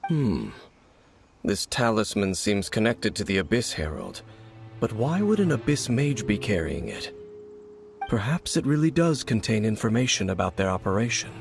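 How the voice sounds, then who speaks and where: A man voicing a solemn, formal knight in a video game, speaking in a measured, grave way. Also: on the low side, sing-song, creaky, over music. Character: solemn male knight, grave keeper of ancient secrets.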